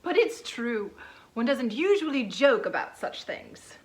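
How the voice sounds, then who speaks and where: Woman playing a high-voiced, earnest bride-to-be. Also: very animated, a little slow, husky, heavily acted. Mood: nervous, earnest. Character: timid but earnest genteel bride-to-be, late thirties. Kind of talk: dramatized novel reading.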